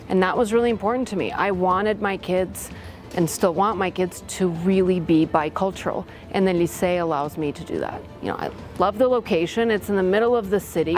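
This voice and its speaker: A woman from Latvia, a school parent and board member, giving a candid testimonial with frank, self-deprecating humor and open feeling. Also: lowish voice. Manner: heartfelt, open about feelings. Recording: music bed under the voice.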